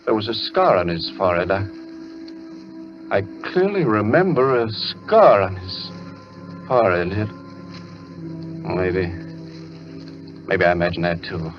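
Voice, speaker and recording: An actor playing a deranged, obsessive doctor in an old-time radio thriller, theatrical and repetitive, swinging between muttering and ranting. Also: male voice, lowish voice. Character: deranged doctor asylum inmate, frantic, obsessive, menacing. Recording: dull old radio recording, music underneath.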